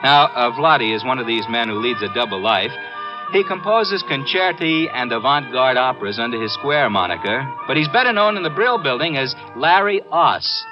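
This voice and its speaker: A man's voice: medium pitch, big pitch swings, moderate pace, lightly acted. An actor playing a wry, skeptical hipster man in a 1950s radio drama, with dry, slangy and hesitant delivery. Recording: dull old radio recording with music.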